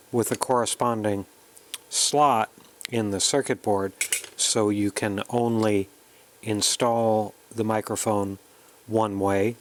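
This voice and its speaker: A man narrating a casual hands-on electronics tutorial, plainly explaining each step as he works. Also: mid-range voice. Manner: plain, explanatory, talking through each step while working. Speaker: host of an electronics kit build tutorial.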